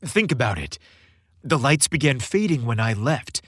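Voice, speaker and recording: A man's voice: mid-range voice, very animated, moderate pace, a bit bright, no room sound, studio quality. A man voicing a cheerful, playful young man with an enthusiastic, emphatic delivery in a romantic holiday story. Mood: excited, elated.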